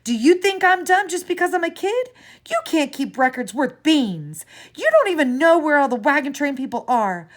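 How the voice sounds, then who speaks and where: A woman's voice: high-pitched, animated, moderate pace, pitched above the performer's own voice. Woman doing a distraught young pioneer girl. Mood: furious. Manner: pleading, then shouting and indignant.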